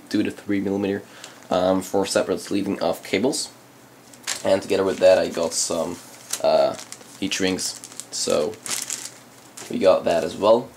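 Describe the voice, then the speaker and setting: A man whose first language is Dutch, hosting a casual unboxing of computer case parts, halting, with many ums and uhs. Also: fairly low pitch, a little mellow, slight background noise. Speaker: channel host reviewing PC parts. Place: indoors at a desk, hands on camera.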